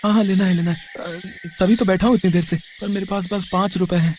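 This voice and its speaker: Actor as excitable poor village lad. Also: mid-range voice, fast talker, slight background noise, close to an everyday voice. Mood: eager. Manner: stammering when flustered. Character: poor, hungry, easily startled young male villager. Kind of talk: animated moral story dialogue.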